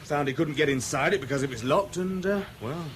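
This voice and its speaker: An actor playing a chatty, matter-of-fact garage mechanic in a radio drama, with a British accent and an unhurried manner. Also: male voice, fairly high pitch, slight background noise, lightly acted. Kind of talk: naturalistic radio drama acting.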